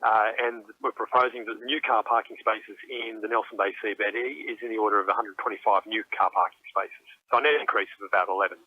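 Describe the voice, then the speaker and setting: A man's voice: on the low side, level tone, quick pace, breathy edge, no room sound. A man giving an interview soundbite as an official. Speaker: council civil assets manager. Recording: muffled, narrow phone-line audio.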